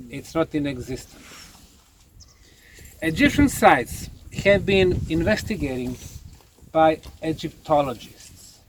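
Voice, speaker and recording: A man lecturing on location as a documentary presenter, speaking emphatically and deliberately. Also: fairly high pitch, noisy background. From Bosnia. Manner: emphatic, deliberate, asks rhetorical questions.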